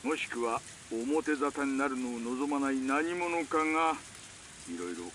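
Older man acted by a male actor, polite investigator, probing, animated pitch, visual novel game, light rain noise. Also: natural voice. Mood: measured, confiding. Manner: formal, measured, probing. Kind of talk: Japanese visual novel acting. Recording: faint rain and hiss behind.

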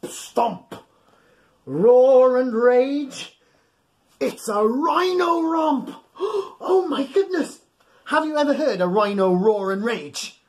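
A man in his thirties reading a bedtime story to young children in a playful, exaggerated way, coaxing them to join in. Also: very high-pitched. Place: small furnished room at home, indoors.

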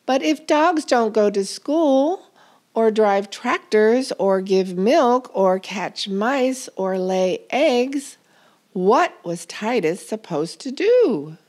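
A woman reading a children's story aloud in a deliberate, expressive storytelling manner. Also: on the high side. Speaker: storybook reader.

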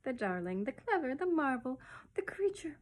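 A woman's voice: high-pitched, sing-song, normal speed, pitched above the performer's own voice. A woman voicing a bold, self-satisfied baby boy in a storybook, cheeky and boastful.

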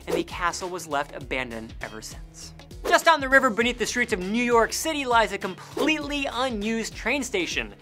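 A young man with an American accent presenting a scripted list narration in an emphatic, animated way. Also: high voice. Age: young adult. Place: indoor studio. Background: faint background music.

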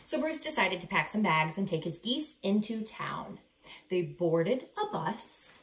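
A woman in her thirties reading a children's picture book aloud with dramatic, deliberate emphasis, in a living room. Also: on the low side, sing-song, slight background noise. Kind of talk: expressive children's read-aloud. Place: small living room, indoors. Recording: roomy, echoey indoor sound.

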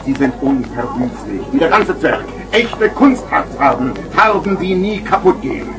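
Casting: loud market crier, high and very animated, wheedling theatrical patter, old children's radio play. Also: male voice, moderate pace, music in the background, poor audio. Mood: coaxing. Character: boisterous male street hawker selling garden gnomes. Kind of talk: 1970s German children's radio play acting. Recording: vintage vinyl radio play recording.

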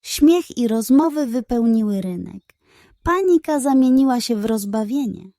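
A woman's voice: fairly high pitch, lively intonation, normal speed, dark tone, dry recording, clean studio sound. A woman storyteller reading a scripted children's bedtime story.

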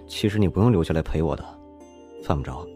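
A man's voice: extremely low pitch, expressive, fast talker, dark tone. A man playing a subdued, considerate young student in an audio drama, with casual, understated delivery. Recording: music under the voice.

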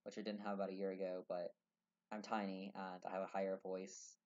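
Young male vlogger, low-ish voice, earnest and rambling, muffled webcam sound. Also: monotone, fairly quick, a little mellow. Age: young adult. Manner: earnest, rambling, many fillers and restarts. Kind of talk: casual talk to camera. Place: small room indoors. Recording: dull lo-fi webcam audio.

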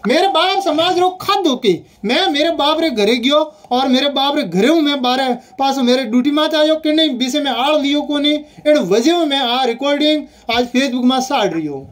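A speaker with a Rajasthani accent arguing heatedly and insistently on a phone call, often repeating points. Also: sits high. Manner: insistent, repetitive.

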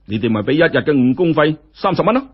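A man's voice: on the high side, sing-song, quick pace, husky, highs cut off, pitched above the performer's own voice. A man with a Hong Kong Cantonese accent voicing a callous, money-grubbing male factory overseer in a told story. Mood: callous, grasping.

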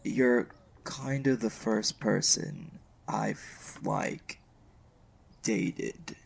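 A man acting as a shy, smitten young college mage in an intimate boyfriend roleplay, speaking casually and haltingly. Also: on the low side, vocal fry, slight background noise, full character voice. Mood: shy, confessional. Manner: hesitant, halting, casual slangy delivery.

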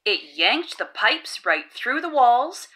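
A woman's voice: fairly high pitch, big pitch swings, slow pace, pushed and bright. A woman hosting a children's read-aloud, reading a rhyming picture book in a playful, sing-song way. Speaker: storybook read-aloud host.

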